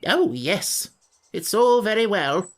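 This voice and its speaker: A man voicing a cranky, put-upon little furry wish-granting creature from a storybook, with a shrill voice that cracks when worked up. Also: lively intonation, takes it slowly, a bit bright, exaggerated voice. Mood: grumpy. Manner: indignant, complaining.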